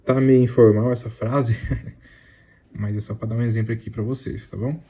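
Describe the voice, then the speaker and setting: A young man with a Brazilian accent talks through basic code in a loose, chatty way, tacking little check-ins onto his sentences. His voice is deep-toned and very mellow, sitting at an ordinary pitch for a man. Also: normal speed, husky. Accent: Brazilian Portuguese. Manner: repeats filler tags like "tá?" and "né?"; slangy, excitable outro. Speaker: beginner coding vlogger. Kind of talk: casual step-by-step tutorial.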